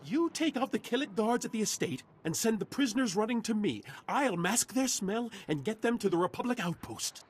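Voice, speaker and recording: Husky, determined rescuer. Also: male voice, high-pitched, sing-song, fairly quick, few pauses, heavily acted. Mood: determined urgency. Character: wary, determined male rescuer of war captives. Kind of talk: scripted video game dialogue.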